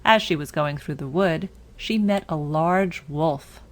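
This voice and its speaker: A woman narrating a scripted bedtime story for children in a measured, deliberate manner. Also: deep voice.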